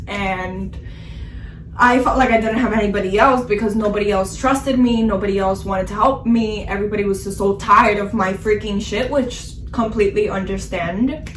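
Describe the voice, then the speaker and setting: A woman's voice: medium pitch, recorded from a distance, a little hiss or hum. Young woman in her twenties, casual storytime vlog, blunt and swears a lot, echoey room, a little off-mic. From Miami area. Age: 20s. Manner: candid, frequent swearing, fillers like "like". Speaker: vlogger telling a personal story.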